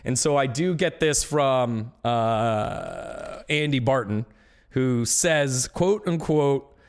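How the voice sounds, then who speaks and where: A man's voice: medium pitch, slow pace, smooth. A man talking casually on a live stream about esports, ranting with growing indignation.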